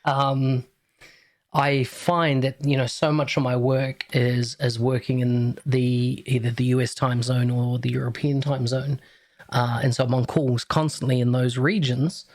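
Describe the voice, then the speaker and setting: A man's voice: medium pitch, flat delivery, a little slow. A man with a New Zealand accent hosting a podcast in a casual, chatty interview style. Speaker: podcast host.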